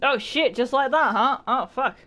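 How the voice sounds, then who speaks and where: High-voiced, sweary woman gaming. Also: moderate pace, pushed and bright. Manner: sweary, irreverent, wry. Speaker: gaming streamer. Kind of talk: casual let's play commentary. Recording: game music behind the voice.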